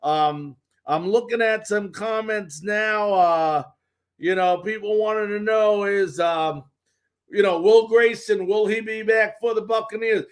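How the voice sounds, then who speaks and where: A man's voice: high-pitched, slow pace. A man hosting a live sports talk show, giving updates and responding to viewer comments.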